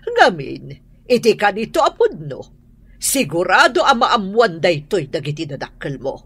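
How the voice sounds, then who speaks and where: A woman's voice: mid-range voice, wildly swooping pitch, normal speed, fairly bright tone, breathy edge, heavily acted. A woman acting a stern, controlling wealthy mother in a radio drama, speaking in a commanding, scolding way. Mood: warning. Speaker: Madam Carla, employer and mother.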